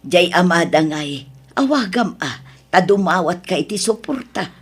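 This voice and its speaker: A woman playing an older village mother, husky, scolding yet resigned, in an Ilocano-accented audio drama. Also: lowish voice, expressive, measured pace.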